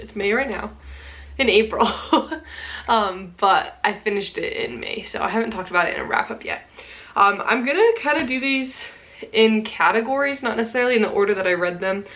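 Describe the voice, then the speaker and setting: A woman's voice: on the high side, fairly bright tone. A young woman with a stuffy nose from a cold, chatting casually about her month's reading at home, with many ums and small laughs. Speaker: book vlogger. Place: home room full of plants.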